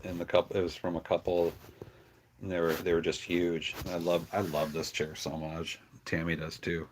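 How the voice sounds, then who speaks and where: A man's voice: sits low, little pitch movement, normal speed, a little hiss or hum, low-quality recording. A man chatting casually and affectionately as he hosts a pet livestream. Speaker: pet channel streamer. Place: on a farm.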